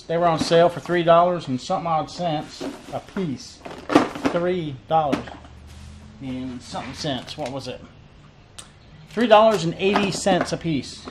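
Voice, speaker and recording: Rambling man with a higher voice. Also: background noise. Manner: rambling, repeats phrases, many fillers. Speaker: fishing vlogger. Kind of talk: casual unboxing chat. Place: cluttered tackle room indoors. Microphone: camera on desk.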